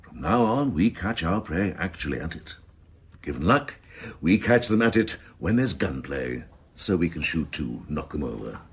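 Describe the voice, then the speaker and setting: Casting: ruthless senior policeman for radio drama, pompous and sardonic, extremely low husky voice. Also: sing-song, normal speed, heavily acted. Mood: menacing relish. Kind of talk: radio crime drama acting.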